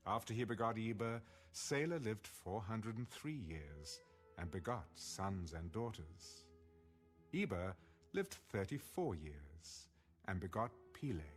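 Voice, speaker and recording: A man with a low voice narrates scripture formally. He takes it slowly and lets his pitch rise and fall widely. Faint music plays behind him. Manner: measured, formal. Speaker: audio Bible narrator. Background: soft background music.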